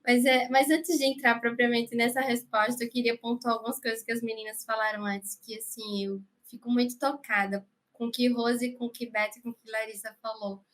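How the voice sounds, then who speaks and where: A woman's voice: fairly high pitch, flat delivery, moderate pace, hardly any pauses, fairly bright tone. A woman with an Alagoas accent, a filmmaker guest speaking earnestly in a podcast conversation, often tagging her sentences with questions. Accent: Alagoas, Brazil. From Brazil. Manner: earnest, frequent tag questions.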